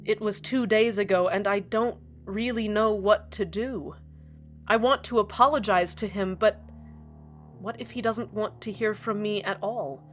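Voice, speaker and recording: Woman playing a contrite radio host, unhurried, halting delivery over faint music. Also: medium pitch, a bit bright. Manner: earnest and halting, full of pauses. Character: heartbroken, apologetic community radio host. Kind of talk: fan radio drama monologue.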